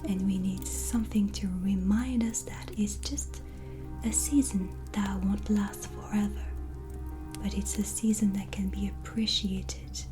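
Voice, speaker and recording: Slow, whispery woman in soft-spoken ASMR. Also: mid-range voice. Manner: slow, gentle, soothing, with pauses. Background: soft relaxing music.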